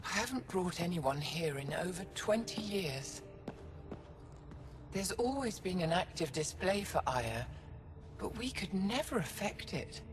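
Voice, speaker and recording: A mature female alien scholar from a sci-fi game. Her lowish voice moves up and down in a lively way, grave yet full of wonder, with music clearly behind it. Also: unhurried. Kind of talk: video game dialogue.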